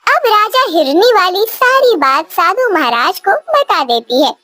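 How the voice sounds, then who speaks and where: A woman's voice: very high-pitched, very animated, a little slow, bright, forceful tone, no room sound. A woman narrating a scripted cartoon story.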